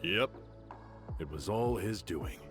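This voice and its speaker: A man voicing a loyal, vengeful desert brigand, grim and rough, in a video game. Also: on the low side, lively intonation, slow pace, over music. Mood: grave.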